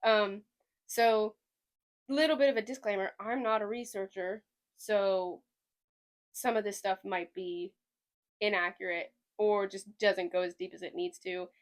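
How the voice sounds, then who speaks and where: A woman's voice: medium pitch, steady tone, measured pace. A young woman from Sweden with a slight Southern US twang, hosting a podcast in a rambling, chatty way with lots of hedging. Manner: rambling, chatty, hedges a lot. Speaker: podcast host. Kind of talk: casual chat.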